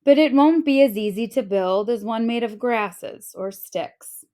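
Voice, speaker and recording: A cheerful little female dassie from a storybook, played by a woman reading aloud. She speaks in a sing-song way, fairly high and unhurried. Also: warm tone, lightly acted. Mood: determined.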